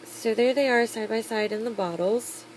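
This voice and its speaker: A woman's voice: a little high, normal speed, a little hiss or hum, rough recording. A woman vlogger reviewing products in a casual, chatty, upbeat way, rambling with fillers. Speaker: beauty vlogger.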